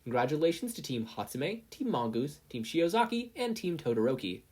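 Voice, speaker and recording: A woman's voice: sits low, very animated, fairly quick, a little mellow, husky, voice pushed up, exaggerated voice. A woman voicing a bold, commanding female hero referee in an audiobook-style story, projecting like an announcer. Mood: brisk, unapologetic.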